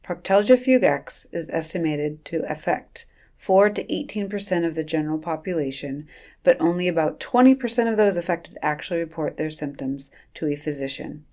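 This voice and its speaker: A woman doctor reading a scripted medical word-of-the-day segment with wry, deadpan jokes. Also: low-pitched, normal speed. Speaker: resident physician presenting a segment.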